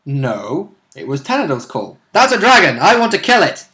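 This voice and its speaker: Higher-voiced, animated man bantering wryly. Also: normal speed. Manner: joking, wry. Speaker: player in a tabletop role-playing game. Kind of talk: casual banter.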